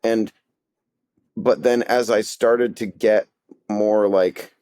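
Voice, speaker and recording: A middle-aged man, an actor, chatting on a podcast in a halting, self-deprecating way with many restarts. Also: on the low side, steady tone, a little mellow, dry recording. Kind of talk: casual podcast chat.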